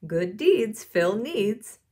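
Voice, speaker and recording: A woman's voice: mid-range voice, big pitch swings, drawn-out words, mellow, breathy edge, heavily acted. A woman reading the part of a firm, encouraging female schoolteacher in a storybook, emphatic and instructive.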